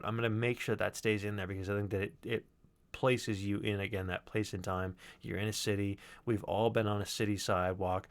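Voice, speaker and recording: Low-voiced man explaining informally. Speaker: sound designer, presenter. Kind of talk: informal explanatory walkthrough on a livestream.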